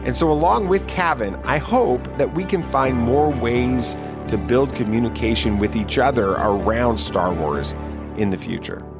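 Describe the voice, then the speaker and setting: A man's voice: medium pitch, measured pace, over music. A man hosting an interview podcast, speaking to his guest and listeners. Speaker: podcast host.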